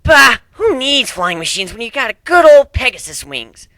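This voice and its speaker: A man voicing a brash, boastful young female pegasus, loud and punchy, in a cartoon fan story reading. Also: very high-pitched, big pitch swings, moderate pace, dry recording, studio quality, voice pushed up, exaggerated voice. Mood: boastful, excited.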